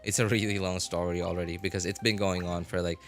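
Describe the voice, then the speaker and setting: A man streaming a game live, chatting casually and haltingly as he thinks aloud. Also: deep voice, flat delivery. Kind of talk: casual rambling livestream chat. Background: music.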